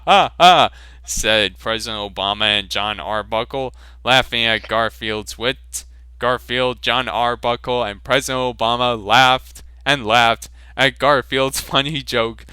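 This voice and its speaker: A man's voice: medium pitch, a little slow, bright, forceful tone, clean tone, dry recording. A man reading a silly fanfiction aloud, mock-serious and fighting off laughs. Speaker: reader.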